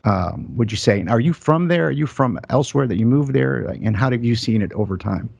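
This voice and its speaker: A man's voice: mid-range voice, expressive, a little fast, vocal fry, darkish tone, slightly rough, dry recording. A man hosting a conversational interview, asking curious follow-up questions. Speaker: host.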